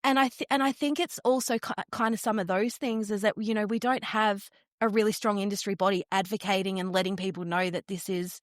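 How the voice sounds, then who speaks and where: An Australian woman talks as an interview guest, explaining things earnestly and conversationally. Her pitch sits in the usual range for a woman. The sound is dry, with very little room in it. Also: steady tone, a little fast, dry recording. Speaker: podcast guest, flower industry entrepreneur.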